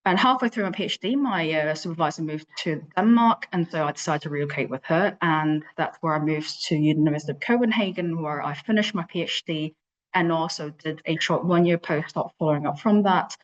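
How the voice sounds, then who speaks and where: A woman's voice: sits low, fairly quick, lo-fi sound. A woman from Hong Kong giving a virtual conference talk as an invited researcher, with frequent fillers and restarts. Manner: hesitant, many fillers and restarts. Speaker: invited speaker, lab leader.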